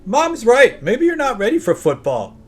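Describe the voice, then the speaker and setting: Male narrator as mischievous young boy, high voice, lively swoops, taunting, children's audiobook. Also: moderate pace, breathy edge, faint music behind, exaggerated voice. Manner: teasing, goading.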